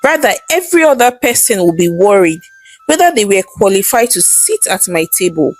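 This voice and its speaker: A woman's voice: mid-range voice, sing-song, fairly quick. A woman storyteller voicing an eager, ambitious young wife in a folktale. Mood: musing, ambitious. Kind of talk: storybook character dialogue.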